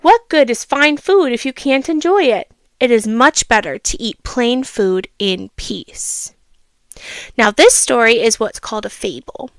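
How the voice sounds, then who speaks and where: A woman reading a children's storybook aloud, expressive and teacherly, with asides and questions for the listener. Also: fairly high pitch, unhurried, no room sound.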